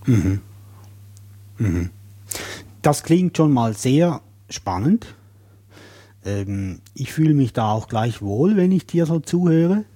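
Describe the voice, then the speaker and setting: A man hosting an interview podcast, asking gentle questions in a friendly, joking way. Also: mid-range voice, very animated, takes it slowly, long pauses, breathy edge. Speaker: podcast host.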